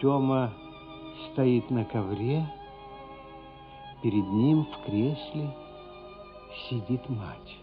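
A man narrating a literary radio play in a measured storytelling manner. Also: mid-range voice. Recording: muffled, phone-like sound with music. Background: music.

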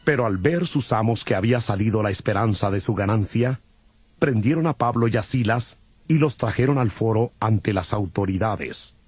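A man's voice: on the low side, animated, moderate pace, a little mellow, husky, no echo. A man narrating a dramatized Bible from a script. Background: music.

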